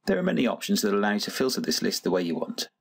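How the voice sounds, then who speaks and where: A man narrating a scripted software tutorial in a methodical, instructional manner. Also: lowish voice, big pitch swings, rapid-fire, gravelly. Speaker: software demonstrator.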